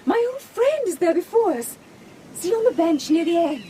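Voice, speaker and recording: High-voiced, sweet young gentlewoman. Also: very animated, moderate pace, slight background noise. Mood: bright, coaxing. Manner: genteel, girlish, coaxing. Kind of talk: period radio drama acting.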